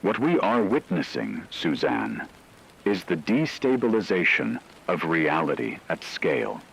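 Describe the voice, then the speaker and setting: A man playing a grave radio news anchor, reading scripted catastrophe news in a solemn, measured, formal way with a husky voice. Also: sits low, very animated, a bit bright, exaggerated voice, rough recording. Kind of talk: scripted dramatic news narration.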